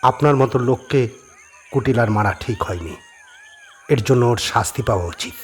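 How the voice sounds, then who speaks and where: A man's voice: medium pitch, expressive, moderate pace, slight background noise, natural voice. A man playing an earnest young man in a horror audio drama, speaking in a respectful, questioning way. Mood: indignant. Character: earnest young man questioning a spirit about his past.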